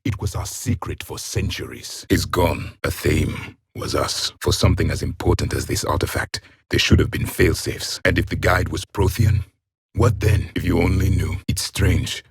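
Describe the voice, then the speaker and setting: A man's voice: deep voice, moderate pace, slightly rough, no room sound, clean studio sound, heavily acted. A man playing a proud, stern alien warrior from an ancient race in a video game, speaking formally with haughty disdain. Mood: haughty, grave. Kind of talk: video game character voice lines.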